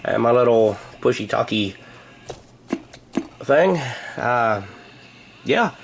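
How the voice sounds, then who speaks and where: A man's voice: mid-range voice, lively intonation, slow pace, slight background noise, low-quality recording. An American man vlogging in a rambling, casual chat, with a wry, joking, sarcastic manner. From United States.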